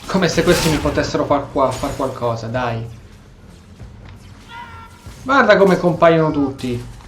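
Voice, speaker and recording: A man's voice: fairly high pitch, expressive, normal speed. A man giving casual, playful gameplay commentary as a streamer, with muttered asides. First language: Italian. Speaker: gaming streamer. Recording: echoey room, faint game music behind.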